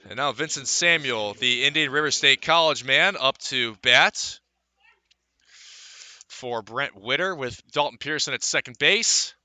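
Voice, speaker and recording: A man calling live baseball play-by-play for radio, mixing pitch-by-pitch description with conversational asides. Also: measured pace, bright, forceful tone, no echo. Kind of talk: live sports commentary.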